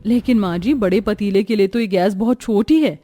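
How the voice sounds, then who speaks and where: A woman's voice: mid-range voice, moderate pace, dark tone, natural voice. A woman voicing an earnest, often complaining young daughter-in-law in a Hindi cartoon story, dutiful but overworked. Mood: hesitant.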